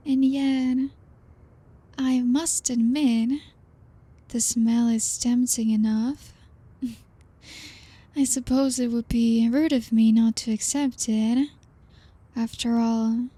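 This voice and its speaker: A woman playing a slow teasing vampire lady. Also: fairly high pitch, dry recording. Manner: slow, teasing and coaxing, soft-spoken with soft laughs; drops into whispers. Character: lonely teasing female vampire, seductive and possessive. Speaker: ASMR roleplay performer. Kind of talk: ASMR roleplay.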